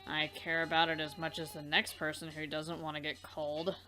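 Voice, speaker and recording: A woman's voice: low-pitched, little pitch movement, normal speed, pushed and bright, soft background music, poor audio. A woman voicing a dry, dismissive young alien troll woman in a visual novel game dub. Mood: curt, sardonic.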